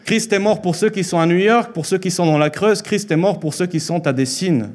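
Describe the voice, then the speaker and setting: A man in his thirties, born in Mexico with Spanish as his first language, preaching an earnest sermon in a church hall into a handheld mic, repeating phrases for emphasis and slowing down on key lines. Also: high-pitched.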